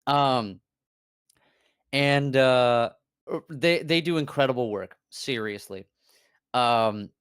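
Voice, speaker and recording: Smooth-voiced man, animated, swearing freely. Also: mid-range voice, slow, pauses often, no room sound, studio quality. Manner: animated, swearing, laughing, frequent fillers. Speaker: VTuber guest. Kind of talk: casual stream chat.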